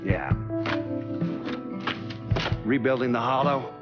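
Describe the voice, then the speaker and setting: An actor playing a weary, haunted American man who gives brooding, deliberate testimony in a video game cutscene. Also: medium pitch, expressive, highs cut off, over music. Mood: brooding, wry.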